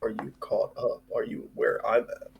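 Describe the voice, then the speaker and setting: A man's voice: medium pitch, little pitch movement, normal speed, vocal fry, highs cut off. A man co-hosting a live stream in casual, slangy chat.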